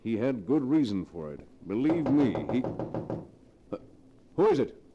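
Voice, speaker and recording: An actor playing a nervous, pleading man in melodramatic 1940s radio style, halting in his protests, on an old recording. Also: medium pitch, very animated, dull audio, noisy background. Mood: uneasy. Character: nervous, pleading male victim mistaken for another.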